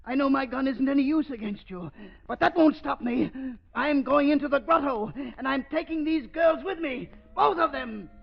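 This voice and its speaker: A man's voice: very high-pitched, normal speed, vocal fry. A man playing a gloating, murderous doctor villain with theatrical, mock-tender menace in a 1930s radio drama. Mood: panicked, defiant. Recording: muffled vintage 1930s radio recording.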